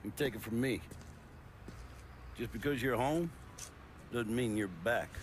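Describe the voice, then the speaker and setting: A man playing a world-weary old American Marine veteran who speaks haltingly and earnestly in a game cutscene. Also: medium pitch, slight background noise, close to an everyday voice. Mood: weary, cautionary. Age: older.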